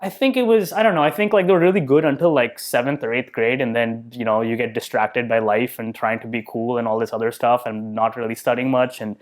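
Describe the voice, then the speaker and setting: Man musing in a relaxed podcast chat. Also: mid-range voice, brisk, few pauses. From India. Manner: thoughtful, self-deprecating, filler-heavy. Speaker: podcast guest, entrepreneur. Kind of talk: casual interview conversation.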